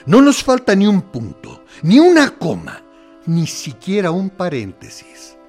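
A military interrogator in a radio drama, playing the friendly one while threatening. He speaks slowly and deliberately, with dramatic pauses and wide swings of pitch, over background music. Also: wildly swooping pitch, a little mellow, exaggerated voice. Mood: veiled threat. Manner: deliberate, mock-friendly, condescending, with theatrical pauses. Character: smooth, menacing male military interrogator playing good cop. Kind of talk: dramatic radio play monologue.

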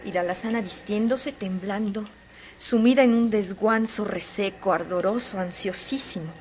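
A woman narrating a literary radio drama with dramatic, deliberate phrasing. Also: medium pitch, darkish tone, slight background noise, close to an everyday voice.

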